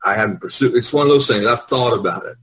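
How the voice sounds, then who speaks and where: A man's voice: mid-range voice, quick pace, a bit bright, husky. A man being coached, talking in casual, hesitant conversation.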